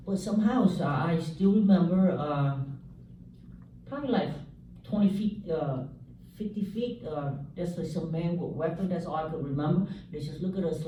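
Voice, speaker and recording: A man from South Vietnam, giving a halting church testimony full of fillers and joking asides. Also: a little high, dark tone, a little hiss or hum. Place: church hall. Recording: echoey hall, mic from far off.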